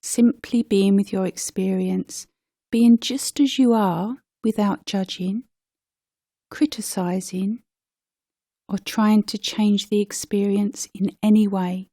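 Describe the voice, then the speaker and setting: A woman guides a meditation in a soft, mellow voice at a very slow pace. She speaks gently, leaving space between short phrases as a teacher would. The recording is dry, with no room sound around her words. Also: medium pitch, steady tone, no room sound.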